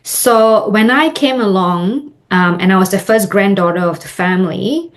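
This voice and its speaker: A woman from Singapore, a marketing coach, telling her life story as a podcast guest in a reflective way with many pauses and fillers. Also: mid-range voice. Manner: reflective, many "um" and "you know". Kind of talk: interview, personal storytelling.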